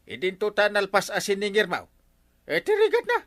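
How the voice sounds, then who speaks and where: An actor playing a scolding village captain in an Ilocano radio comedy, exclaiming and lecturing with an Ilocano accent. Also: male voice, wildly swooping pitch, normal speed, a bit bright, full character voice. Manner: exclaims and scolds with wild pitch swings. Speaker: barangay captain.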